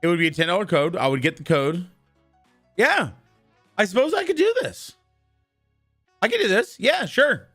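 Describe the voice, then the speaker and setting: Hoarse, husky male streamer. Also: high voice, big pitch swings, normal speed, pauses often, soft background music. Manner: rambling, loud shouted greetings to viewers. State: hoarse, worn-out voice. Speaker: live streamer. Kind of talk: casual live-stream chat with viewers.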